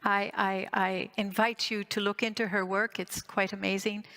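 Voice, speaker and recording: Measured woman in her sixties, lecturing. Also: medium pitch, flat delivery, sharp tone. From Greece and Canada. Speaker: psychotherapist giving a conference talk. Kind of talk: prepared conference lecture with personal story.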